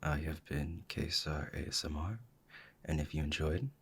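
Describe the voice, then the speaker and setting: A man's voice: rumbling low, normal speed, slightly rough. A man who creates ASMR roleplays, speaking to his viewers in a polite channel outro.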